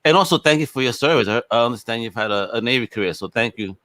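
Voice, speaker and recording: A man's voice: mid-range voice, animated, fast, bright, forceful tone, no echo. A man hosting a podcast in a casual, encouraging, slangy and effusive way over a video call. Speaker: podcast host. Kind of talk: casual chat interview.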